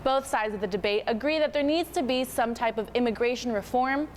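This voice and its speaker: A woman's voice: fairly high pitch, fairly quick, slight background noise. A woman reading scripted student news narration.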